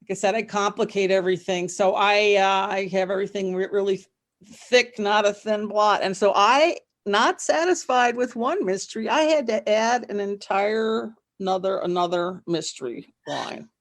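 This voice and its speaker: A middle-aged woman chatting casually as an interview guest, telling things in an animated way and often circling back over her words. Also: mid-range voice, normal speed. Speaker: podcast guest, novelist and former psychologist.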